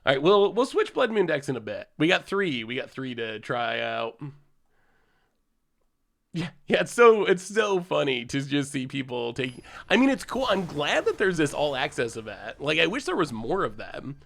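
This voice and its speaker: A man's voice: a little high, very animated, normal speed, vocal fry, a bit bright. Congested man chatting through a game stream. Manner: chatty, laughs often, thanks chat subscribers. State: congested from a cold. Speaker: streamer playing a card game. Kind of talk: casual live-stream commentary while playing.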